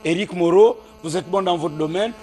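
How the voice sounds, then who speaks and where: A man's voice: sits high, normal speed, darkish tone, slight background noise. Man hosting a heated TV debate. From Gabon. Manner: probing, interrupts guests with questions. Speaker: TV debate host. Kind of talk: studio political debate.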